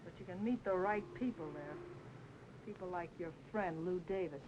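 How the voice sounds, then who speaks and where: A woman's voice: fairly low pitch, moderate pace, noisy background, close to an everyday voice. An actress playing Julie, a moody young woman singer, in old radio noir style. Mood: guarded, cool. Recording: dull old radio recording with music.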